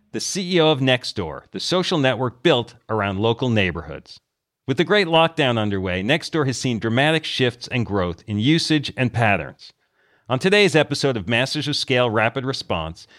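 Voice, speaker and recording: A man delivers a scripted introduction as a podcast host. His pitch sits in the middle but moves in a very animated way.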